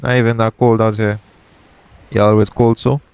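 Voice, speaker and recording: A man playing a shady, jaded yet tender pirate captain in an intimate audio roleplay, talking colloquially and frankly, with gentle teasing. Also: lowish voice, flat delivery, normal speed, close to an everyday voice. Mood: easygoing, reassuring. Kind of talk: intimate audio roleplay acting addressed to the listener. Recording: clear background noise, ambient sound bed.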